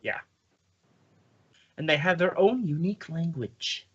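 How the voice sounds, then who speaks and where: A man's voice: a little high, expressive, a little slow, fairly bright tone, breathy edge. A man hosting a podcast, chatting casually in a playful, bantering way. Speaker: podcast host. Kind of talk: casual chat. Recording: dull lo-fi audio.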